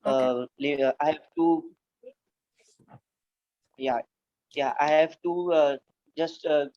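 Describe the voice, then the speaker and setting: A young man in his twenties speaks in Indian English, hesitant and full of fillers. His pitch stays flat and a little high, and the call audio sounds dull and dry. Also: dry recording. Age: 20s. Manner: halting, many fillers and restarts. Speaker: participant, cricketer.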